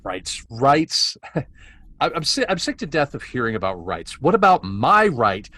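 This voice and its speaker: Man acting an arrogant officer, wildly swinging pitch. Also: sing-song, unhurried, sharp tone, full character voice. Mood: heated. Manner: dramatic, condescending. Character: arrogant, impatient male scientist-officer, obsessive and commanding. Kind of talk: science fiction script table read.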